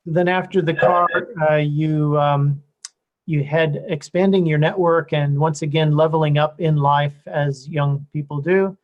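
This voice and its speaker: A man's voice: a little high, steady tone, unhurried, lo-fi sound. A man interviewing a guest, asking questions in a conversational, rambling way and rephrasing them as he goes.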